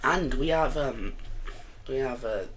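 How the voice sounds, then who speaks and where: A man with a croaky voice giving casual, rambling commentary over a map simulation, full of fillers and self-corrections. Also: medium pitch, slow pace, a bit bright, slight background noise. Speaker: video host.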